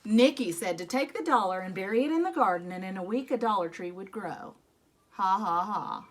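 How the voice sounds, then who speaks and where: A woman reading a children's picture book aloud expressively, telling it as a young boy, with dramatic emphasis and drawn-out rueful phrases. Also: mid-range voice, expressive. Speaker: storybook reader. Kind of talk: expressive read-aloud of a children's story in a young boy's first person.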